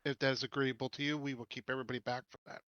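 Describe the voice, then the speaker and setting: A man's voice: mid-range voice, flat delivery, very fast, a bit bright, no echo, highs cut off. A man chatting casually as a player in a tabletop roleplaying game, informally speaking for his own character.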